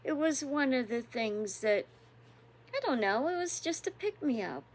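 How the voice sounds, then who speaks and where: Slow-talking woman in her sixties. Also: sits high, expressive. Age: 60s. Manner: unhurried, chatty, chuckling often. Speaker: devotional host. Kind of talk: casual reflective chat.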